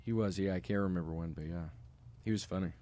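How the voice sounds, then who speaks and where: A man's voice: low-pitched, fast talker, mellow. A man hosting a comedy podcast, chatting in a laid-back, mumbling, understated way. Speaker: podcast host. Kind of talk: dry comedy podcast banter.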